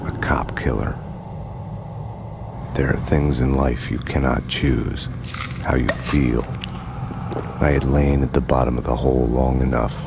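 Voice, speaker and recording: Very deep, world-weary noir detective. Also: male voice, vocal fry, background noise, exaggerated voice. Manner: grim, fatalistic, terse. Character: brooding, world-weary police detective narrating his own noir downfall. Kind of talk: noir scripted narration. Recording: loud music under the voice.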